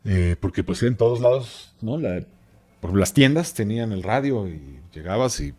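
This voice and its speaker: Low-voiced Mexican man, rambling and casual. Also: lively intonation, normal speed, darkish tone. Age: 40s. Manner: rambling, hesitant, with asides. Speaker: podcast guest, expert on radio history. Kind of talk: casual conversational explaining.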